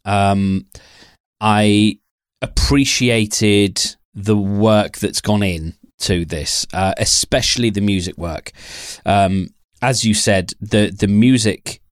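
A man co-hosting a podcast in casual conversation, giving his opinions in a measured, hesitant way. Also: fairly low pitch, no echo, clean studio sound. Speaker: podcast co-host. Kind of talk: casual chat and reviewing.

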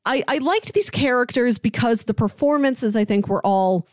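A woman's voice: a little high, moderate pace, dry recording, clean studio sound. A woman co-hosting a casual TV review chat, talking conversationally and peppering her sentences with "like". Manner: animated, conversational, frequent "like". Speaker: podcast co-host.

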